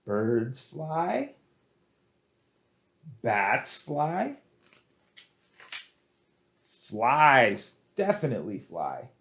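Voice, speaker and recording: A man's voice: on the high side, gravelly. A man hosting a children's storytime, speaking in an exaggerated, sing-song way and encouraging young listeners along. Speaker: children's storytime librarian and host. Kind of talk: children's storytime with action songs and picture-book reading.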